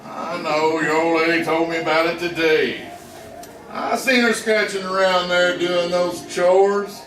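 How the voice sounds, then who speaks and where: A man's voice: sits high, level tone, a little slow, a bit bright, slight background noise. An actor playing a stubborn, blunt Ozark hillbilly gunman with a folksy drawl in an old-time radio western. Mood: grudging, folksy. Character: lanky hillbilly feudist, stubborn, matter-of-fact, with a rifle. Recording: roomy, distant vintage radio recording with voices.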